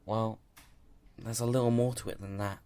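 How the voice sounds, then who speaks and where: An actor playing an earnest, loyal young military subordinate in an audio drama, speaking carefully and hesitantly. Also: male voice, lowish voice, steady tone, normal speed, warm tone, smooth, lightly acted. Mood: careful, guarded.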